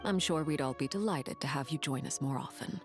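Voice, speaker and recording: A woman voicing a composed, courteous woman of high rank in a video game, formal and measured in her speech. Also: natural voice. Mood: calm, gracious. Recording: game dialogue with music behind.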